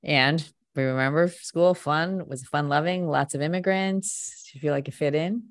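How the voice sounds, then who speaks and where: A woman's voice: low-pitched, moderate pace. A woman hosting a conversational interview, curious and prompting her guest with short questions. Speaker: podcast host.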